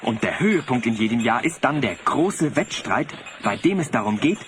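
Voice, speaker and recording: An actor playing a naive, vain young sled driver in a German audio drama, earnest in delivery. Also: male voice, medium pitch, very animated, moderate pace, natural voice. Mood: proud, enthusiastic. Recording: slightly noisy cassette audio drama.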